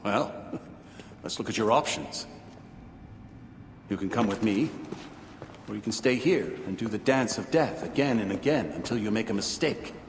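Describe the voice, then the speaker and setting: An actor playing a calm, dry, persuasive leader of secret assassins in a video game scene. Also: male voice, lowish voice, normal speed, long pauses. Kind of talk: video game cutscene acting. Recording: reverberant, faint ambient noise.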